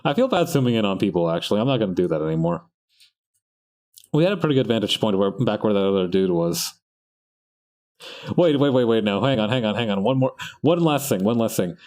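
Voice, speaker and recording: A young man whose first language is Spanish talks casually while drawing on a live stream. His voice sits low, but his pitch jumps around a lot as he rambles and breaks off into side stories. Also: animated, brisk, darkish tone. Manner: chatty, meandering, laughs often, trails off mid-sentence. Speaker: artist streaming practice.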